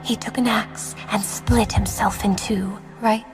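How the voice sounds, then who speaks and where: A woman playing a gentle, solemn lamb spirit of death in a video game, softly and breathily, with calm measured delivery. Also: mid-range voice, lightly acted, low-quality recording. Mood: solemn storytelling. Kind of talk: dramatic game character dialogue. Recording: music behind the voice.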